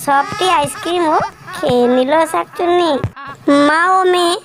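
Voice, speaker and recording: A woman's voice: extremely high pitch, moderate pace. A woman narrating a cartoon fairy tale in a sing-song storybook manner. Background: background music.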